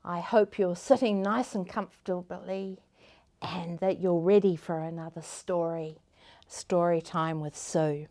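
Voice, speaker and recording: A woman storyteller hosting a story time, reading to children in a gentle, expressive way with deliberate phrasing. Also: lowish voice. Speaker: storyteller, host.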